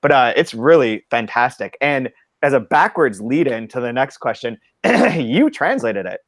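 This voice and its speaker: Guy hosting a book podcast, super dry close sound, says like a lot, excited. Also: mid-range voice, normal speed, no room sound. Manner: enthusiastic, lots of "like" fillers. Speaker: podcast co-host. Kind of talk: casual podcast chat.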